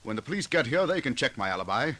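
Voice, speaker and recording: Vain, evasive male stage idol: mid-pitched, hugely animated delivery, 1940s radio acting. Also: quick pace. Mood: defensive.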